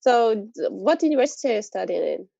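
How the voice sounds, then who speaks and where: A woman hosting a video call as a teacher, giving quick, encouraging replies. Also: on the high side, expressive, vocal fry, mellow, no room sound. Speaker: host teacher. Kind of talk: casual video-call chat. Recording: muffled video-call audio.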